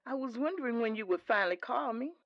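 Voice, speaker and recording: A woman's voice: on the high side, lively intonation, fast talker, dry recording, muffled recording. A woman playing a devout older mother in an audio drama, patient and reassuring, firm but tender, with a preachy streak.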